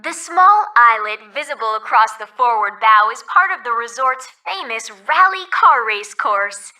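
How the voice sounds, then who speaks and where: A woman's voice: a little high, lively intonation, a little slow, sharp tone, full character voice. A woman playing a polite, cheerful resort ferry guide in a video game, reading scripted tour announcements, recorded slightly distant. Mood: cheerful, informative.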